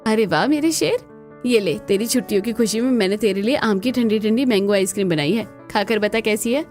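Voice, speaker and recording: A woman's voice: mid-range voice, fairly quick, a little mellow, faint music behind, natural voice. A woman voicing a kindly, trusting young mother and elder daughter-in-law in a family cartoon, affectionate and reassuring. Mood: fond. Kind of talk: Hindi family cartoon voice acting.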